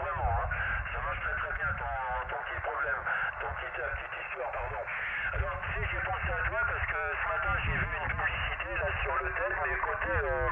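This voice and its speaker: A man's voice: fairly high pitch, gravelly. A man chatting casually as a radio operator over a radio transmission, rambling and repeating himself with frequent verbal tags. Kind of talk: casual radio contact chat. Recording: narrow radio band, loud static noise.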